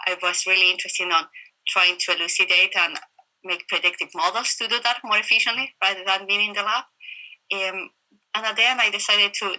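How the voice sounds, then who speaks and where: A woman with a Chilean Spanish accent, a professor explaining her research in an interview over a video call, phrasing her answers in a halting, reflective way. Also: mid-range voice, bright, forceful tone, poor audio. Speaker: interviewed professor of computational chemistry.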